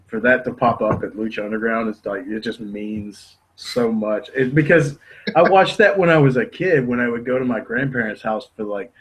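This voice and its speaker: A man with a husky, slightly rough voice talks as a podcast guest. He sounds laid-back and self-mocking, letting a curse slip now and then. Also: medium pitch, normal speed, poor audio. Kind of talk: casual podcast chat. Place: Tennessee.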